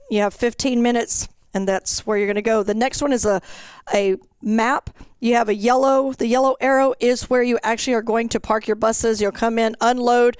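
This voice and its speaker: Female event organizer, slightly high and clean-toned, dry sound, giving an instructional, step-by-step briefing. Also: a little mellow, smooth, no echo. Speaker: contest organizer presenting an information session. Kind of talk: informational presentation to directors.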